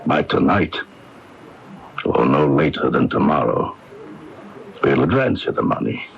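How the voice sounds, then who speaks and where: An actor playing a suave, menacing mob fixer in a radio mystery drama, measured, formal and insinuating. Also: male voice, extremely low pitch, animated, gravelly, a little hiss or hum, heavily acted. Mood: businesslike. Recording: dull 1980s AM radio recording, faint music.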